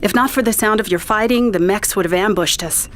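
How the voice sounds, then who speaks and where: A woman's voice: medium pitch, fairly quick, natural voice. A woman playing an earnest, commanding resistance spokesperson in a science fiction audio drama. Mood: awed.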